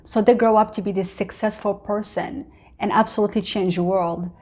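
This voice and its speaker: A woman's voice: medium pitch, steady tone, normal speed, darkish tone. Earnest Moroccan-born woman vlogger. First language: not English. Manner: earnest, open, many fillers like "you know" and "uh". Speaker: vlogger host. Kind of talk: personal motivational talk to camera.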